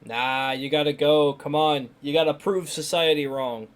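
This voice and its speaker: A woman's voice: sits low, steady tone, normal speed. A woman giving casual, teasing, slangy reaction commentary as she plays a video game.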